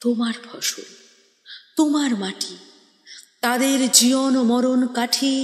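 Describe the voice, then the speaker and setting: A woman giving a dramatic poetry recitation in a theatrical, declamatory manner with deliberate pauses. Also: fairly high pitch. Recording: echoey, long reverb on voice.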